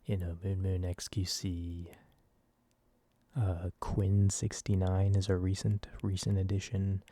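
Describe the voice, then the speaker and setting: A soft-spoken man in his early twenties rambles in a casual ASMR style. His voice is low and very dark, recorded close and dry, and he tends to put himself down as he talks. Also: monotone, takes it slowly, no room sound. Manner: casual, self-deprecating. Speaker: ASMR video creator.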